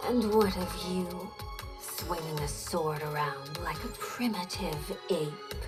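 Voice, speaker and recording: A woman's voice: on the low side, takes it slowly, over loud music. A woman playing a menacing immortal villainess in a video game, taunting and sinister. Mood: mocking.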